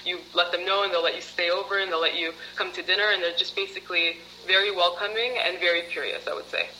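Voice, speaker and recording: A woman's voice: lowish voice, fairly even intonation, quick pace, pushed and bright, slight background noise, poor audio. A woman as a program participant, answering interview questions in a chatty way, with many fillers and laughs.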